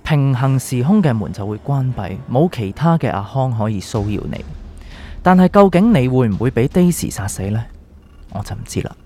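An actor playing a calm, enigmatic doctor in a radio drama, speaking Hong Kong Cantonese in a measured, probing way. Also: male voice, mid-range voice. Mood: cryptic, grave. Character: calm, cryptic male doctor with a secret identity. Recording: music underneath.

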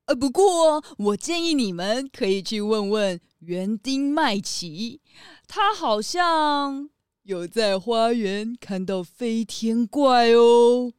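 A woman's voice: fairly high pitch, expressive, slow, smooth, no echo, studio quality. A woman playing a gossipy, slightly flustered castle head chef in a children's audio drama. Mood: confiding. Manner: hesitant, then confiding.

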